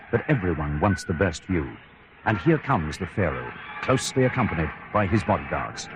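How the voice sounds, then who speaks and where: A man playing an outside-broadcast radio reporter, giving descriptive live commentary on a ceremony over noisy ambience. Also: fairly low pitch. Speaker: on-the-scene reporter.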